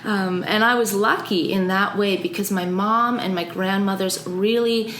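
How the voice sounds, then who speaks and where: A woman's voice: medium pitch, steady tone, unhurried, a bit bright, reverberant, slight background noise. A woman who grew up in Austria and Canada, speaking as a guest in an earnest, reflective interview. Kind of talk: conversational interview. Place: office.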